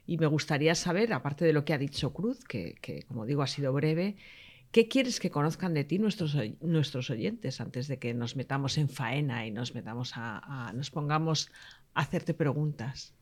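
A woman's voice: deep voice, animated, moderate pace, darkish tone. A woman co-hosting a podcast, asking questions in casual chat with lots of hesitant fillers. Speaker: podcast co-host.

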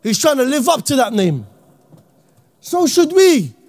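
A man from Iraq, giving an impassioned, slang-heavy talk to young people as an Islamic speaker and often shouting. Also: very high-pitched, sing-song, measured pace. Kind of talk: impassioned motivational talk to youth.

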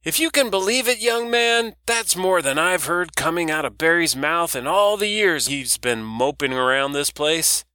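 Audiobook figure: man putting on a raspy, bossy old woman vendor, pitched well up, teasing. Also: moderate pace, few pauses, no echo, clean studio sound. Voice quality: raspy, loud. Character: raspy brash older woman, dock hot dog vendor. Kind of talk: audiobook character voice.

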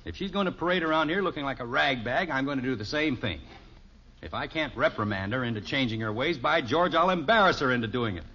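A dull old radio recording of a middle-aged suburban dad, stubborn and grumbling. His slightly rough voice swings up and down as he makes his sarcastic, folksy points. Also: medium pitch, expressive, fast talker, sharp tone, exaggerated voice. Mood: stubborn, self-satisfied. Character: exasperated, stubborn suburban father of three. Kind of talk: 1950s radio sitcom acting.

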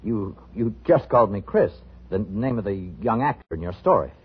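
Husky, nervous placating man. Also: on the low side, lively intonation, normal speed. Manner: hesitant, careful, uneasy. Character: nervous, placating man humoring a dangerous woman. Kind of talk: 1950s radio thriller acting. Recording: muffled old radio broadcast.

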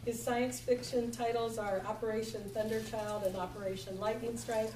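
A tired woman with a gravelly voice introducing a guest speaker, reading from notes and joking lightly. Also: a little high, flat delivery, moderate pace, darkish tone. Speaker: event host introducing speaker. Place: lecture hall with audience. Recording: echoey hall from far off with noise.

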